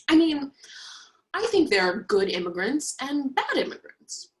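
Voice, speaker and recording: A woman reading the part of a chatty, naive young college roommate in a play, expressive and opinionated. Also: high voice, slow, full character voice, low-quality recording. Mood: defensive, naive. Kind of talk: virtual play reading, naturalistic dialogue.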